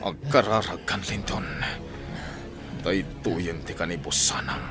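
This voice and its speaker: A man's voice: low-pitched, animated, a little slow. A man playing a merciless villain with a grudge in a Philippine radio drama. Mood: cold menace. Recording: dramatic music behind voice.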